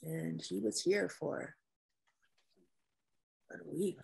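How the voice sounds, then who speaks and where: A woman acting a grieving, guarded female naval officer and caretaker at a screenplay table reading, with measured, halting delivery. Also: low voice, very animated, gravelly, darkish tone, husky, full character voice. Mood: sombre recollection.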